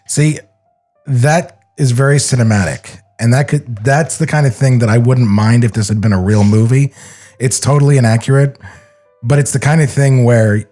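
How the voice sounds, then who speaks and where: A man's voice: mid-range voice, steady tone, moderate pace, dark tone, soft background music. A man co-hosting a podcast, giving casual, opinionated commentary and informal corrections. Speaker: podcast co-host. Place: podcast studio.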